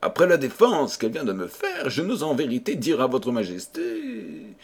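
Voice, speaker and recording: A man's voice: on the high side, huge pitch swings, moderate pace, creaky, slightly rough, voice pushed up, full character voice. A man voicing a sly, malicious courtier in a storybook tale, deferential and insinuating, with feigned nonchalance.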